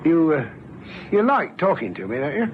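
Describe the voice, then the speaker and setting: An actor in an old radio drama playing a nervous, well-meaning caretaker who stammers hesitantly. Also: male voice, on the high side, big pitch swings, normal speed. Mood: coaxing, anxious. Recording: muffled old radio recording, faint noise.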